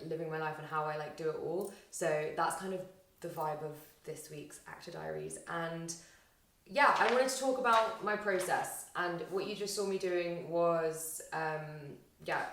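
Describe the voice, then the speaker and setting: A young woman vlogging casually to camera, chatty and rambling, her voice slightly husky and tired after a weekend out. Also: low voice, a bit bright, distant mic. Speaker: vlogger and aspiring actor. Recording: roomy echo, faint music.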